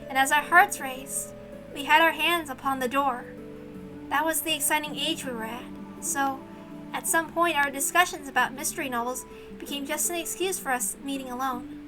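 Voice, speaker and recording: A woman's voice: high voice, low-quality recording. A woman reading a visual novel aloud as dramatic scripted narration.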